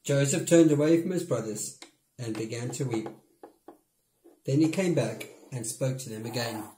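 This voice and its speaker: Guy narrating a bible story, normal mid pitch, echoey room at home. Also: moderate pace, mellow, a little hiss or hum. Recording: roomy indoor echo.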